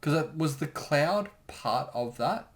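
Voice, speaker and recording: A man chatting casually as a commentator, thinking aloud in a halting way. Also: on the high side, lively intonation. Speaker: creator giving episode commentary.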